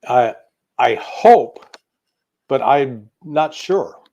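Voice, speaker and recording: A male American commentator with a medium-pitched voice, talking as an interview guest. He gives opinionated political analysis off the cuff, emphatically stacking one point on the next. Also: expressive, drawn-out words, vocal fry, mellow, muffled recording. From United States. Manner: emphatic, piling up lists, opinionated.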